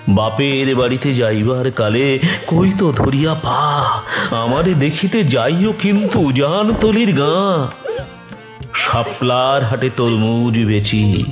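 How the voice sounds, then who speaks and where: A man giving a dramatic, mournful, drawn-out poem recitation as a grieving old village grandfather. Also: medium pitch. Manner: mournful, drawn-out, emotive delivery. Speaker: poetry reciter. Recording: echoey voice over background music.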